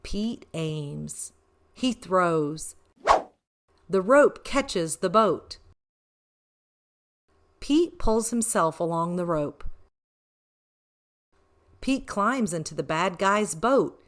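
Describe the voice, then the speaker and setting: Very animated, slow-paced woman storyteller. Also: fairly low pitch. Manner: deliberate, animated, short phrases with emphasis. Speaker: read-aloud storyteller for children. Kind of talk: expressive children's picture book narration.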